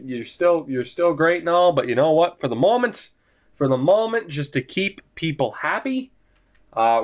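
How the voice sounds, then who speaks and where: A young man giving casual, rambling commentary over a hockey video game, swearing often and joking at his own expense. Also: mid-range voice. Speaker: gaming YouTuber.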